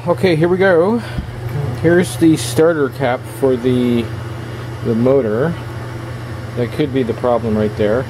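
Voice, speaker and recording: Male repair vlogger, casual and halting, thinking aloud; noisy handheld camera sound in a small workshop. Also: medium pitch. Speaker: electronics repair hobbyist hosting a video. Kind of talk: casual hands-on repair commentary. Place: small indoor workshop at a workbench. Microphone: handheld camera's built-in microphone.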